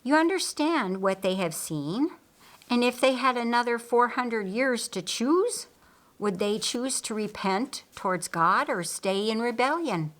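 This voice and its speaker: A woman plays a holy teacher, a calm man of quiet authority in an audiobook story. The delivery is slow and measured, patiently questioning and instructing. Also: on the high side, voice pushed up. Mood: calm, probing. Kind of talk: audiobook character dialogue.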